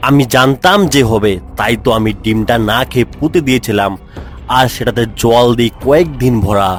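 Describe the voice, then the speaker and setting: An innocent, earnest son from a cartoon village fable, played by a man. The voice sits at a medium male pitch and sounds naive and hopeful. Soft music runs behind it. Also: moderate pace, natural voice. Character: hopeful, innocent young son who loves eggs. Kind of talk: cartoon dialogue.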